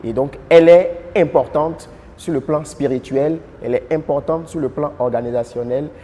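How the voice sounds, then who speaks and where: A man's voice: on the high side, normal speed, warm tone, long reverb tail. A man who collaborates on the project, steadily explaining what the research uncovered. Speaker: artistic collaborator. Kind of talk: interview testimony.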